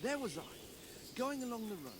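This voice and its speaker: An actor playing a chatty, excitable medieval townsman spinning an anecdote as background dialogue in a video game. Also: extremely high pitch, a little fast. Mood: excited storytelling. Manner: animated anecdote telling.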